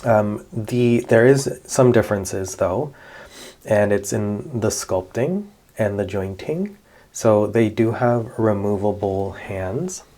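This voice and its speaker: A man, chatting casually in a relaxed vlog about his doll collection, with many fillers and self-corrections. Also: on the low side, slow. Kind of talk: casual show-and-tell vlog.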